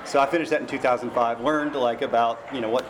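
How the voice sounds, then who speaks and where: American man, middle-aged, average pitch, casual interviewee, self-deprecating storyteller, noisy room ambience. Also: steady tone, fast. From Memphis, Tennessee, United States. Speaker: novelist being interviewed.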